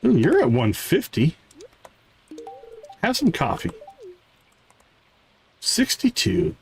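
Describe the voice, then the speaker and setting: A man's voice: fairly high pitch, wildly swooping pitch, takes it slowly, gravelly, faint music behind. A man giving playful, chatty live commentary as he plays a video game, talking to characters and viewers. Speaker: livestream host playing a farming video game. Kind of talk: casual live gaming commentary.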